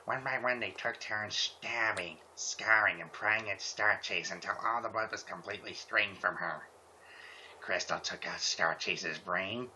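A man's voice: on the low side, a little slow, bright, forceful tone. A man hosting a casual group fan fiction reading, talking and narrating on a computer mic. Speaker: host. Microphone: computer microphone on group voice call. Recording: muffled computer mic with background noise.

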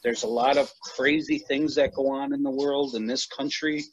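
A man's voice: medium pitch, fairly even intonation, normal speed, breathy edge, low-quality recording. A middle-aged American man answering questions on a video call, thoughtful and restarting as he finds his words. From United States. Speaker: guest.

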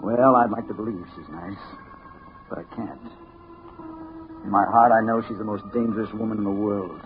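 A man's voice: lowish voice, fairly even intonation, normal speed, close to an everyday voice. An American man playing a wary, determined newspaper reporter in a 1950s radio drama, brooding and clipped. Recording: noisy, tinny 1950s radio recording.

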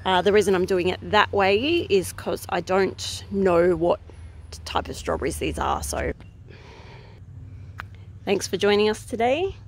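A woman in her thirties chats casually outdoors in a rural garden, recorded on a phone held at arm's length. She talks in a relaxed, unscripted way, stopping often with ums while she thinks aloud. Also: medium pitch, unhurried, long pauses, a little hiss or hum. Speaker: gardening vlogger.

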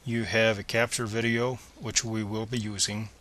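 A man with a fairly low voice and a bright, forward edge gives step-by-step computer instructions. He is plain and methodical, speaking as a screencast presenter. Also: level tone, normal speed. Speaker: tutorial presenter.

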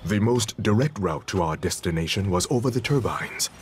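An actor playing a noble superhero king who narrates his quest in a grave, formal, measured way for a video game. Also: fairly low pitch, animated, lightly acted. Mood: solemn, proud. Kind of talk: scripted dramatic game narration. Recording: music behind the voice.

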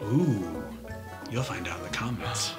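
A man's voice: low-pitched, animated, unhurried, over music. An American man in his thirties co-hosting a children's storytime, mixing comedic banter with reading aloud.

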